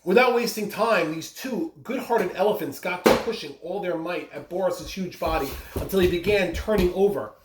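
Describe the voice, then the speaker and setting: A reader giving an expressive read-aloud of a children's story. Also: medium pitch, moderate pace, few pauses. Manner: expressive, with brief asides to listeners. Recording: roomy echo, clear background noise.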